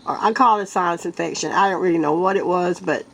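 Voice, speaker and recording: Stuffy-nosed woman clearing her throat. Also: fairly low pitch. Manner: earnest, halting, with many restarts. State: congested, stuffed-up nose from a sinus illness. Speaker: vlogger. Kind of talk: casual talk to viewers.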